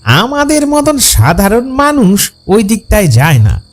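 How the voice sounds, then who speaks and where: A man acts out a wary village local in a cartoon ghost story. The voice is high-pitched and leaps wildly up and down as he gives folksy warnings, with music underneath. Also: wildly swooping pitch, unhurried, exaggerated voice. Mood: wary, helpful. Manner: high, swooping, cautionary folksy delivery. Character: ordinary male villager warning a traveller away from a cremation ground.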